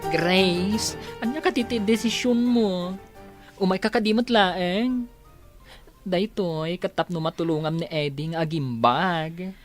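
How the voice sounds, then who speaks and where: A woman plays a helpful family friend in a Filipino audio drama. The voice sits fairly low and talks things through in a reassuring way. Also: unhurried, over music, natural voice. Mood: inviting, grateful.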